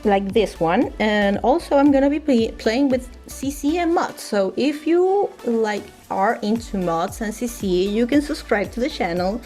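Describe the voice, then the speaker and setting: A woman's voice: on the high side, animated, measured pace, few pauses, dark tone. A young woman whose first language is Spanish, chatting casually as a gaming YouTuber with frequent restarts and filler words. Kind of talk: casual voice-over chat. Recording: faint music underneath.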